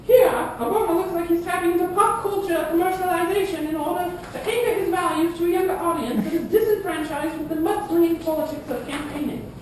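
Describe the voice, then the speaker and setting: A woman's voice: very high-pitched, fairly quick, few pauses. A woman playing an eager, analytical female debate co-moderator and pundit in a scripted puppet show. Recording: echoey room, distant mic, background noise.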